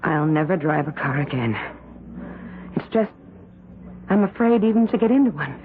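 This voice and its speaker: Brief: nervous, trusting younger sister, lively pitch, thin radio sound. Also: female voice, moderate pace, slight background noise. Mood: anxious. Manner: anxious, trusting. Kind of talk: 1970s radio drama acting. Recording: phone-like old radio recording.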